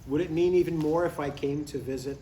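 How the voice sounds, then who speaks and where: A man's voice: a little high, fairly even intonation, fairly quick, mellow, close to an everyday voice. An older man playing an eager, stubborn retired father in a live comedy script table read, chatting earnestly and needling a little. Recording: echoey, distant live room with background noise.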